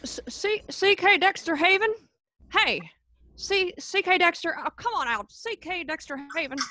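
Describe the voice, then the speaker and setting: A woman's voice: extremely high pitch, lively intonation, normal speed, bright, forceful tone, slight background noise, full character voice. A woman playing a drunk, romantic male writer in a radio play, stammering, hiccuping and repeating words. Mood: drunk, expansive. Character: tipsy, rambling, romantic young male writer.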